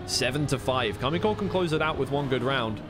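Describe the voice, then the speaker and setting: A man's voice: medium pitch, animated, a little fast, background noise. A man doing live esports play-by-play commentary in a conversational way, thinking aloud as he goes.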